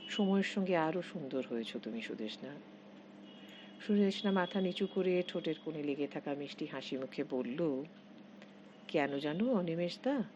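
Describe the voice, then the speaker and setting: A woman narrating a scripted literary short story in measured, expressive phrases with pauses. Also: low voice. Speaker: author reading own short story. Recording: dull lo-fi audio with heavy noise.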